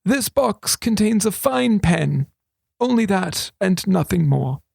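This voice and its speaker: A man voicing an old male wizard regent who is formal, paternal and dryly playful, speaking slowly and deliberately. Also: sits high, animated, gravelly, a little mellow, slightly rough, dry recording, clean studio sound, voice pushed up, full character voice. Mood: sheepish. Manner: slow, deliberate, grandly formal. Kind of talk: audiobook character voice.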